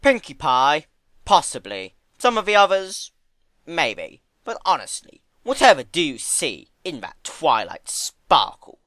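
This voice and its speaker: A man's voice: on the high side, wildly swooping pitch, measured pace, a bit bright, dry recording, pitched above the performer's own voice, heavily acted. A man voicing a sardonic, pompous male trickster creature in a dramatic storybook reading, with a dry, mocking manner. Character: sardonic, theatrical male draconequus, chaotic trickster. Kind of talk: dramatic fan fiction reading.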